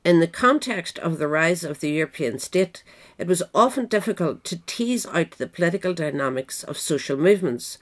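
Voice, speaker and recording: Woman reading scripted nonfiction, measured. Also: lowish voice, animated. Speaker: audiobook reader. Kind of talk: scripted narration of a political history essay.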